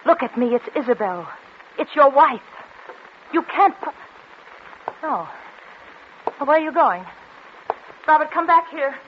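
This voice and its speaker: Emotional nervous wife, 40s radio melodrama, dull hissy old recording. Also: female voice, high-pitched, expressive, unhurried, long pauses. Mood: desperate, pleading. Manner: emotional, pleading. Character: anxious, pleading wife of a doctor. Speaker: radio actress. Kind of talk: 1940s radio melodrama acting. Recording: muffled, hissy vintage 1940s radio recording.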